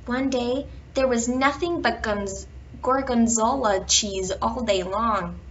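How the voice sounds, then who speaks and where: A woman reading a children's picture book aloud, with expressive emphasis and drawn-out words in a storytelling manner. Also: on the high side. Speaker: children's bedtime story reader. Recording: dull, distant home recording with background noise.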